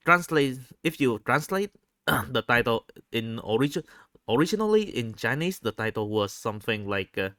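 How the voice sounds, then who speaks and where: A man talks in a casual, unscripted audio diary, haltingly and often repeating his phrases. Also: medium pitch, fairly bright tone, dry recording, studio quality. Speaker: vlogger recording an audio diary. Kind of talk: casual unscripted personal monologue.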